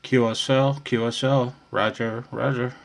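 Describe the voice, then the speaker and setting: A man's voice: medium pitch, level tone, a little slow, vocal fry, noisy background. An American man chatting casually and in a friendly way as an amateur radio operator, recorded on a phone. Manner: friendly, chuckling, says "man" often. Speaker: amateur radio operator filming for his channel. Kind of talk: casual ham radio conversation. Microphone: handheld phone.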